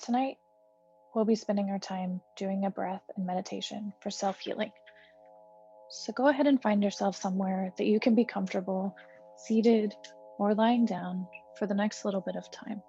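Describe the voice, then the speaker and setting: A woman meditation teacher guiding a healing breath meditation in a soft, slow, soothing way with gentle pauses. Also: medium pitch, steady tone, vocal fry. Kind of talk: guided meditation. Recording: slightly dull recording with soft music.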